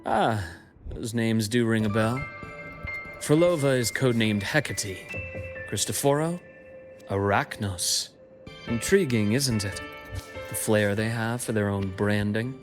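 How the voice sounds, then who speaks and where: A composed investigator in a story-driven game voiced by a male actor, with a fairly low voice. He lays things out deliberately and articulately, with a dry edge over an old, quiet hatred. Music plays clearly behind him. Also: close to an everyday voice. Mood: wry, analytical. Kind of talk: scripted game story dialogue with exposition. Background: background music.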